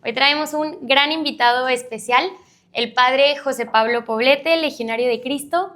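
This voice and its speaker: A woman's voice: high voice, a little slow, sharp tone. A woman, hosting a casual podcast interview. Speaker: podcast host.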